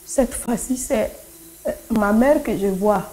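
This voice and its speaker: A woman's voice: medium pitch, takes it slowly, dark tone, low-quality recording. A woman whose native language is Kotokoli, giving a personal religious testimony as a church speaker, telling it dramatically with emphatic repetition and acted-out dialogue. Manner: emphatic, repeats phrases, acts out quoted dialogue.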